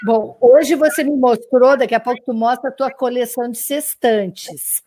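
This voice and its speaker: A woman from Brazil with a slightly high voice hosts a relaxed chat, in a dry, close recording. She asks short questions and keeps the guest going with encouraging reactions. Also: normal speed, no room sound. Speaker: host. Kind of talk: casual interview.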